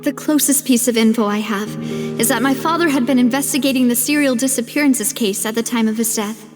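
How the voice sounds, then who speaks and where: An actress playing a young female syndicate boss in a video game, composed, earnest and guarded, speaking in a measured, polite way. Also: a little high, lightly acted. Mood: somber, earnest. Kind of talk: video game cutscene acting. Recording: long reverb, music behind.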